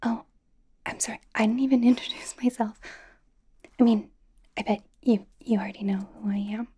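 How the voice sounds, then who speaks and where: A woman plays a young female K-pop idol in an ASMR roleplay. Her voice is a breathy whisper, soft and mellow, edging higher when voiced. She rambles in a nervous, bubbly, supportive way, hesitant but reassuring. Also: fairly even intonation, moderate pace. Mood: sheepish, reassuring.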